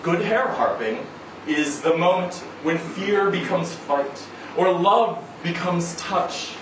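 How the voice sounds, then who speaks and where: A man giving a dramatic live reading of a short story, with measured, deliberate phrasing and pauses. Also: high-pitched. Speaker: story reader at a live literary event. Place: indoor event venue before a live audience. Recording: echoey room, distant mic, noisy background.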